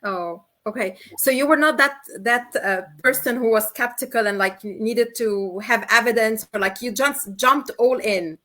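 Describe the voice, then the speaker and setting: A woman's voice: on the high side, unhurried, hardly any pauses, pushed and bright. Encouraging woman hosting an interview. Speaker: host. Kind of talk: casual interview.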